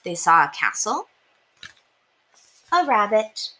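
A young woman reading a children's storybook aloud in an expressive, deliberate way. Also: mid-range voice, low-quality recording. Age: young adult. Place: small indoor room. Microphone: webcam at desk distance.

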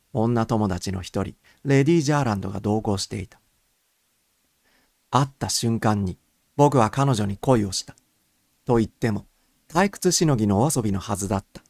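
A man's voice: fairly low pitch, a little mellow, dry recording, clean studio sound. A man reading scripted first-person mystery fiction as an audiobook narrator.

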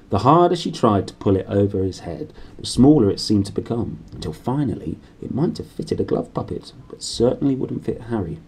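Male British storybook reader, lowish mellow voice, animated delivery. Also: breathy edge. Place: small room indoors.

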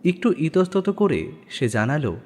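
Expressive male storyteller in long reverb. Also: on the high side, a little slow, slight background noise. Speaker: story narrator. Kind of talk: dramatic scripted audio story narration. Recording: long studio reverb, background music.

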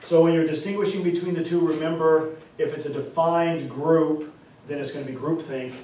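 A man in his forties gives a classroom lecture, his voice a touch high for a man. He is picked up from across an echoey room by a distant camera, with a faint hiss behind him. He drives his points home with repeated examples and slow, stressed key terms. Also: fairly even intonation, mellow. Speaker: instructor. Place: classroom, indoors. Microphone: far camera.

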